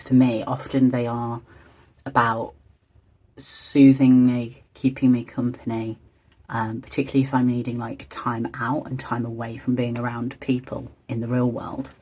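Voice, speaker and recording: A vlogger with a slightly croaky voice, chatting quietly and hesitantly, thinking aloud while decorating a journal. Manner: hesitant, meandering, soft-spoken and low-key. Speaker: stationery and journaling video creator.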